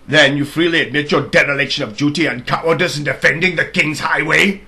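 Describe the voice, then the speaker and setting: A man's voice: on the high side, a little fast, bright, forceful tone, voice pushed up. A man voicing a grand, probing king in a storybook reading, pompous and declamatory. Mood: incredulous, imperious. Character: grand, probing good king questioning his soldier.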